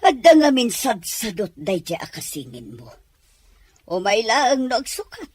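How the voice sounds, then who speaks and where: A woman playing a weary, disapproving mother in a drama, with a husky voice. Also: on the high side, wildly swooping pitch, unhurried, darkish tone, no echo, heavily acted. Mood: weary reproach. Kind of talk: Ilocano drama acting.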